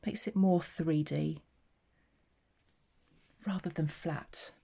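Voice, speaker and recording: Woman, low voice, mellow tone, chatty, talks through her card making. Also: slow pace, creaky, breathy edge. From southeast England. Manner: chatty, narrating her own hands-on work. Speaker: papercraft demonstrator. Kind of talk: casual craft tutorial chat.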